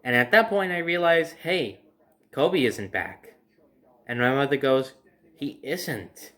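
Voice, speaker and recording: A man's voice: mid-range voice, lively intonation, takes it slowly, a bit bright. A young adult man casually telling a personal story to camera in a conversational, emphatic way. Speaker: storyteller and host. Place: indoor room by a window at home.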